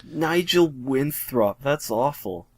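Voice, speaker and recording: A man's voice: medium pitch, lively intonation, slow, no echo. A man chatting casually over a video game as co-commentator, chipping in with brief, wry remarks. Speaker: let's play co-commentator. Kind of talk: casual gameplay chat.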